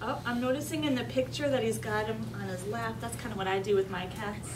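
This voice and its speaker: A woman's voice: a little high, fairly even intonation, brisk. A woman teaching young children through an interactive read-aloud, coaxing them with simple instructions and questions. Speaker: teacher reading aloud to kindergarteners. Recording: distant mic, noisy room.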